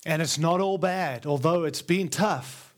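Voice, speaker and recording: A man's voice: a little high, measured pace. A man with an Australian accent preaching a sermon as a guest speaker to a live congregation, earnest and emphatic, stacking repeated phrases to build his point. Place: large church before a live congregation.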